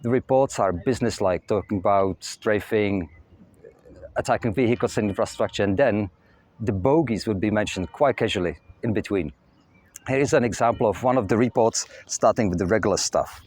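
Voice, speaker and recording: A young man with a Czech accent, hosting his own channel and telling stories in a steady, matter-of-fact way. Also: on the low side, normal speed. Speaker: channel host. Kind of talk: scripted narration. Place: outdoors near a castle.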